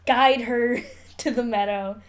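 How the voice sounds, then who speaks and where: A woman's voice: on the high side, fairly even intonation, slow. A woman co-hosting a podcast with playful, giggly, slangy banter. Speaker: podcast co-host. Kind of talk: casual banter.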